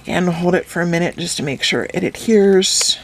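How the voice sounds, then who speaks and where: A woman explaining a craft step by step in a calm, instructive way, her voice slightly hoarse from a cold. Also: lowish voice, brisk, slight background noise. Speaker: craft tutorial host. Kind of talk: step-by-step craft tutorial voice-over.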